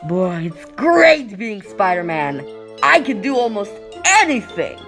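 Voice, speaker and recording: Casting: male actor as youthful wisecracking superhero, very lively intonation, brash comic-book delivery, light music behind. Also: sits high, measured pace, exaggerated voice. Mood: breezy, confident. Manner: brash, jaunty, slangy. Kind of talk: amateur comic dub acting.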